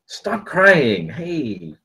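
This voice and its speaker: Man acting gruff, husky, irritable father. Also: a little high, wildly swooping pitch, very slow, bright, forceful tone, heavily acted. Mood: stern. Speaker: actor at a table read. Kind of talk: live script table read.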